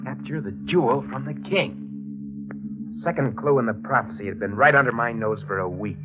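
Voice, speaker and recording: An actor playing a hardboiled, scheming drifter in 1940s radio noir, terse and slangy, heard on a muffled old recording. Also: male voice, on the low side, very animated, moderate pace, over loud music. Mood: brooding. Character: hardboiled, scheming male drifter narrating his crime.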